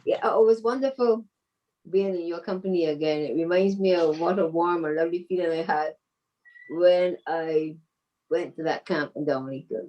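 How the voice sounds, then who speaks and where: A woman's voice: lowish voice, normal speed, muffled recording, a little hiss or hum. An older woman gives sentimental, grateful farewell remarks on a group call. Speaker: former cadet giving reunion remarks. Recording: distant microphone on video call.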